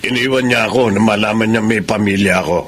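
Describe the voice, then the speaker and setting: A man's voice: lowish voice, fast, background noise, lightly acted. An actor playing a cold, dismissive estranged father in a Filipino radio drama.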